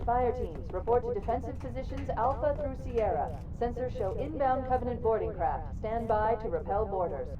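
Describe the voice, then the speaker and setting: An actress playing a composed warship computer in a video game, giving formal, clipped announcements over a public-address system. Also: female voice, fairly high pitch, lively intonation, normal speed, few pauses, background noise. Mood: formal, urgent. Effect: ship public-address system. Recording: echoing, dull, distant public-address sound.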